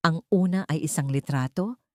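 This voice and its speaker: A woman's voice: low-pitched, moderate pace, a little mellow, dry recording. A woman reading a scripted story as a narrator, in a dramatic storytelling style. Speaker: narrator of audio love stories.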